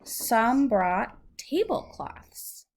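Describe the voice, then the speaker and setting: Female storyteller for young children: high-ish voice, very measured pace, patient and simple in explanation. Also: sing-song, a little mellow, husky. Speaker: adult reading aloud to a young child. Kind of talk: slow read-aloud storytelling with questions to a child.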